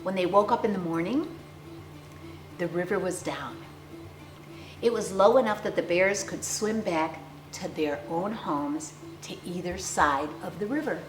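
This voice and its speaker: A woman storyteller reading a picture book aloud to young children with theatrical, deliberate phrasing and dramatic emphasis. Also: lowish voice. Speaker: children's storyteller, clown character host. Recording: roomy echo, faint music behind.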